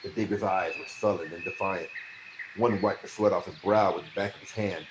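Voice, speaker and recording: Expressive male storyteller in an echoey room. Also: low-pitched, a little fast. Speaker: amateur audiobook reader. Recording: roomy echo, faint background noise.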